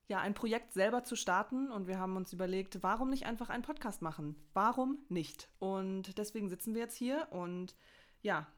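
A young woman hosting a casual podcast, talking in an upbeat, giggly and rambling way. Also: mid-range voice. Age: young adult. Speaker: podcast host. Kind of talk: casual chat.

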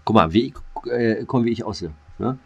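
A man's voice: fairly low pitch, brisk, vocal fry, husky. A man co-hosting a podcast, chatting casually in a rambling way with lots of fillers, restarts and crude slang. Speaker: podcast co-host. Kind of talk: casual chat.

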